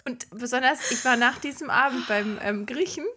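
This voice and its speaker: A woman's voice: fairly high pitch, moderate pace, bright, forceful tone, slightly rough. A young woman co-hosting a casual chat, telling an anecdote in a slangy, incredulous way.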